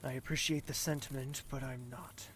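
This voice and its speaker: A performer in an audio drama playing a traumatised young prince, pleading and despairing, with raw emotion and halting delivery. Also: medium pitch, fairly even intonation, a bit bright, slight background noise, close to an everyday voice. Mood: subdued, withdrawn. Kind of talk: audio drama acting with inner monologue.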